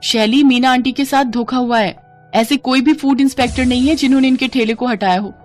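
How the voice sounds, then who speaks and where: Woman acting a kind, eager young woman, soft music. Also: high-pitched, steady tone, brisk, lightly acted. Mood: concerned. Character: kind, helpful young woman customer, social-media food blogger. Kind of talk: storybook character acting.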